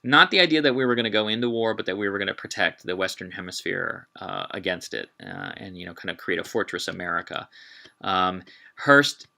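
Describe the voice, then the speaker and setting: A man giving an informal history lecture in a halting way, with emphatic, dramatic delivery when quoting. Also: low voice, big pitch swings, creaky, pushed and bright. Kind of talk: informal illustrated public lecture. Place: talk given to a live group.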